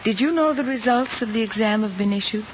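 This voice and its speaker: An actress playing a composed, gently probing woman teacher, measured and proper in an old screen drama. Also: on the high side, close to an everyday voice. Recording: dull, hissy old film soundtrack.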